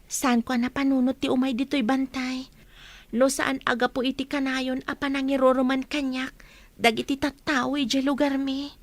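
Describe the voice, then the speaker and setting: A woman acts out a very high-pitched young village woman in a radio play. She speaks earnestly and pleadingly, as someone devoted to those around her. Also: level tone, moderate pace, no room sound, close to an everyday voice. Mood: earnest, gentle. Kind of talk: radio drama acting.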